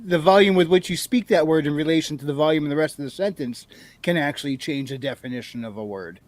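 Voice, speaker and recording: A man's voice: fairly high pitch, fast talker, no echo. A man from New England chatting and joking on a headset during a video call. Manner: jokey, laughs often. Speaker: panelist. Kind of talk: casual group chat.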